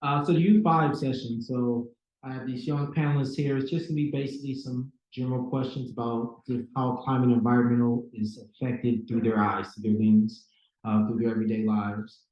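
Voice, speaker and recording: A young man from Minnesota hosts a youth panel discussion, talking in a relaxed, halting way full of fillers and restarts. His voice is warm and mellow, and the sound comes through dull and heavily echoed, like a big conference room. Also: mid-range voice. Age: young adult. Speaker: panel moderator.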